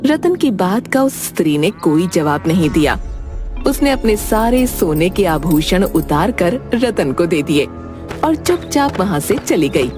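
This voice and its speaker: Animated woman storyteller over music. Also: lowish voice, measured pace. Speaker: narrator. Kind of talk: scripted children's story narration.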